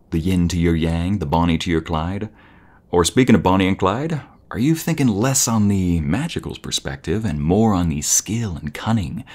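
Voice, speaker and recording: Very deep-voiced man, playful boyfriend roleplay.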